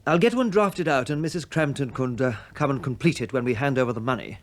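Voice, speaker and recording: A man's voice: medium pitch, fast talker, lightly acted. A man playing a formal financial official in a radio drama, precise, officious and pointedly sardonic. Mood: businesslike. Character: formal, sardonic man handling clients' money. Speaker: actor in a radio play. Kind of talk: British radio drama acting.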